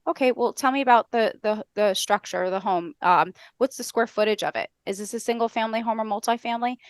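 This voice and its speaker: A middle-aged American woman on a headset, explaining step by step as a podcast guest in a brisk, structured, instructional way. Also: a little high, clear, resonant tone, dry recording. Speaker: podcast guest, customer service trainer. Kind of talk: explanatory interview talk with acted-out call examples. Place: indoor room on a video call.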